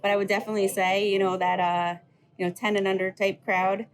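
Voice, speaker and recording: A woman's voice: mid-range voice, flat delivery, fairly quick, crowd noise. A woman hosting a casual vlog, chatting, asking questions and echoing the answers she gets. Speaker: vlog host, interviewing child. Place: aboard a cruise ship. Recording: clearly noisy ship surroundings.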